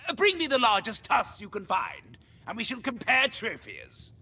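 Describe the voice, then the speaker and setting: An actor playing a boastful, jovial gentleman hunter in a video game, with a pompous, theatrical, blustering manner. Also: high voice, wildly swooping pitch, moderate pace, creaky, slightly rough, full character voice. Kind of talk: video game character acting.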